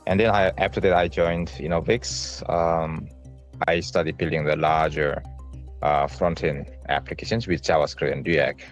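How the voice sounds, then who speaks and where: A man with a Korean accent answering job interview questions on a video call in a halting, rehearsed way. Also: extremely low pitch, steady tone, soft background music. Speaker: job candidate.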